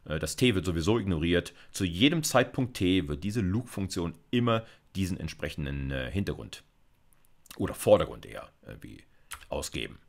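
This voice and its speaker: Guy in his 50s, deep voice, very animated intonation, talking thru code like a uni lecturer, informal. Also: fairly quick, creaky. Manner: thinking aloud, informal. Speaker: university computer science lecturer. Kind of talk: explanatory screencast lecture with live coding. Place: indoors, seated at a computer.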